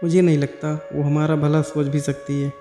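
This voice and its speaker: A man's voice: fairly high pitch, level tone, normal speed, dark tone, close to an everyday voice. A man voicing a bitter, scornful adult brother in a moral story. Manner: taunting, scornful. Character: bitter, resentful adult brother in money trouble. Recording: echoey hall-like reverb over music.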